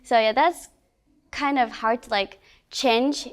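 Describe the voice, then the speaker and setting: A woman's voice: on the high side, fairly even intonation, takes it slowly, clear, resonant tone. A woman from Okinawa, Japan, speaking casually in an interview with many filler words.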